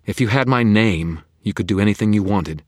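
A man's voice: lowish voice, brisk, dry recording, clean studio sound, pitched above the performer's own voice, lightly acted. A man voicing an anxious young woman who stammers yet talks bluntly. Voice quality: stammering, urgent.

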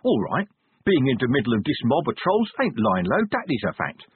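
A man voicing a dim-witted, drug-addled troll loser in an audiobook, husky, reasoning in plodding slang. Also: mid-range voice, huge pitch swings, fairly quick, no echo, voice pushed up, full character voice. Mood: muddled reasoning. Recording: tinny, narrow-band radio-like audio.

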